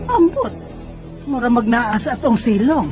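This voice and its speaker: A higher-voiced Visayan mother. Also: very animated, measured pace. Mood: mildly chiding. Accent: Visayan Filipino. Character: homely, pacifying mother who chides her grown son. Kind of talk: Bisaya radio drama acting. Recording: radio drama with faint music behind. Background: faint music.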